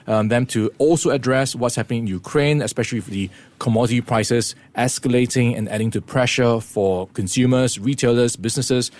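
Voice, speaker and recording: A man presenting a morning business news briefing, explaining the week's events in a steady way with occasional fillers. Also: mid-range voice, a little fast, few pauses. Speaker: radio presenter.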